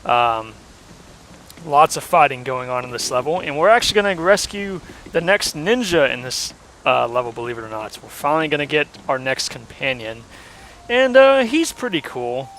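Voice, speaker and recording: A hoarse young man giving casual, rambling commentary while playing a game, with lots of ums and uhs. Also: a little high, sing-song, normal speed, fairly bright tone, a little hiss or hum, low-quality recording. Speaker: let's play host.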